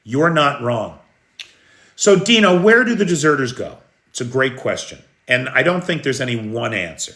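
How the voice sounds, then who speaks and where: A man's voice: medium pitch, sing-song, moderate pace, a bit bright, reverberant. An American man hosting a live news stream, talking unhurriedly and answering viewers' comments. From United States. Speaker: news livestream host. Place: room in Kyiv during air alert.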